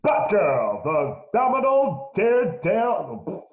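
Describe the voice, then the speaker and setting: A man's voice: high-pitched, wildly swooping pitch, takes it slowly, heavily acted. A man playing the announcer in a radio play, in a theatrical announcer style. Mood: announcing. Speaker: announcer and Commissioner Gordon. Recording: roomy, dull lo-fi home recording.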